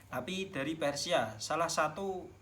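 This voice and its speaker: A man's voice: on the high side, unhurried, pushed and bright, a little hiss or hum. A young man with a Javanese accent, speaking as a student teacher giving classroom instruction.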